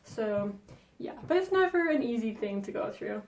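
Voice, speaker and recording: A woman's voice: a little high, lively intonation, moderate pace, echoey room, a little hiss or hum. A young woman who grew up speaking Danish, answering viewer questions in a chatty vlog, with frequent ums and likes. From Denmark. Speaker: vlogger. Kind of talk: casual Q&A vlog.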